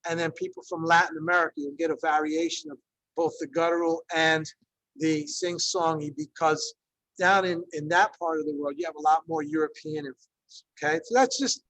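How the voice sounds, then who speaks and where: A man in his fifties, originally from Ecuador, leads an online class over a video call with a high, gravelly voice. He talks in a loose, conversational way, with plenty of fillers and frequent check-ins with his listeners. The sound is dry and close, with no room around it. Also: animated, normal speed, fairly bright tone, no echo, low-quality recording. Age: 50s. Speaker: Spanish teacher leading a workshop. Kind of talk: online workshop presentation.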